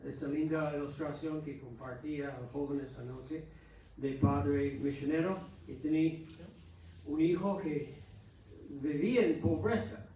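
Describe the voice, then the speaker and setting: American pastor preaching in an echoey hall. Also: male voice, on the high side, warm tone, a little hiss or hum. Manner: earnest, exhorting with halting restarts. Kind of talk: Sunday school teaching. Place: church hall. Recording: echoey church hall from far off.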